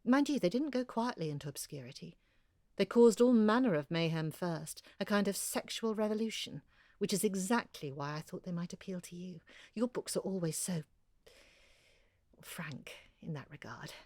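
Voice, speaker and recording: A woman's voice: fairly low pitch, normal speed, darkish tone, no echo, studio quality, natural voice. A woman playing an earnest, chatty amateur researcher in an audio drama, confiding and effusive as she talks. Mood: wry, animated. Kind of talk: conversational audio drama monologue.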